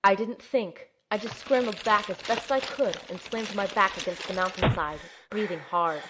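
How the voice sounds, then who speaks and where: A woman's voice: mid-range voice, normal speed, noisy background, lightly acted. A woman playing an earnest young healer in an audio drama, telling and acting out her own ordeal with soothing, emotionally involved delivery. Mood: tense, somber. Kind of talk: amateur audio drama, first-person narration and dialogue.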